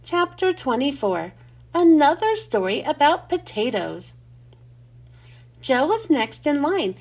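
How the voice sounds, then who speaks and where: High-pitched, slow-paced woman storyteller. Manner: expressive, clearly enunciated storytelling for children. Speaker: read-aloud host. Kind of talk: children's storybook narration.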